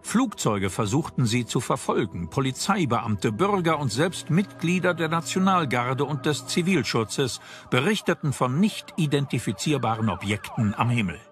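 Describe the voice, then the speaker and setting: A man's voice: medium pitch, animated, moderate pace. A man reading scripted documentary narration as the narrator. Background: music.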